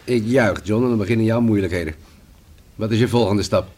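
A man's voice: fairly low pitch, a little fast, a little mellow, lightly acted. An actor in a Dutch radio play playing a wry male colleague of a police inspector.

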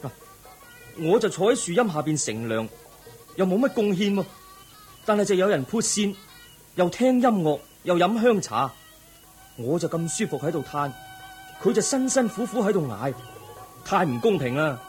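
A man's voice: high-pitched, big pitch swings, moderate pace, long pauses, darkish tone, faint music behind. A man playing a thoughtful, compassionate young crown prince in a Cantonese audio drama, earnest and questioning. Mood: troubled.

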